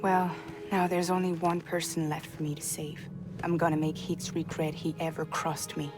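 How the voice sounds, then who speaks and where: A woman playing a wounded, resolute young woman in a video game, speaking in a measured, deliberate way. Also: low-pitched, flat delivery, over music, lightly acted. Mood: bitter, determined. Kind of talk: cinematic video game acting.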